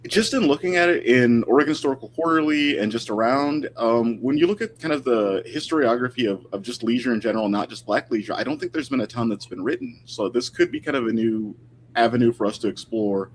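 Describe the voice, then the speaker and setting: A man's voice: mid-range voice, animated, quick pace, a little hiss or hum. A man talking casually and thoughtfully as a guest historian in an informal interview, picking his words tentatively over a video call.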